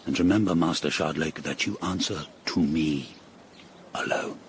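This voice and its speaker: An actor playing a stern, commanding lord of the Tudor court in a radio drama, curt and threatening. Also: male voice, very deep voice, normal speed, vocal fry, a little hiss or hum. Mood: cold warning. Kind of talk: period radio drama acting.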